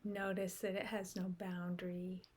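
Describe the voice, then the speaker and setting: A woman guiding a meditation in a soft, unhurried way with long pauses, her breathy voice often trailing off into a whisper. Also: on the low side, little pitch movement. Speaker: meditation guide. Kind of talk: guided meditation.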